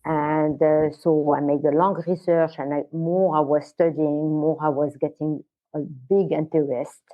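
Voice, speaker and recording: An older woman from Morocco, a guest on an interview podcast, talking in a halting way with many fillers. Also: low voice, steady tone. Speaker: guest, wellness center founder. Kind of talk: casual interview talk. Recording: tinny phone-like line.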